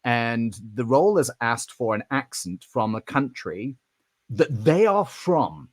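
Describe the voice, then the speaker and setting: A man's voice: medium pitch, slow, no room sound. A man co-hosting a podcast in casual chat, telling stories animatedly, with frequent restarts. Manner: animated storyteller, acts out quoted voices. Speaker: podcast co-host.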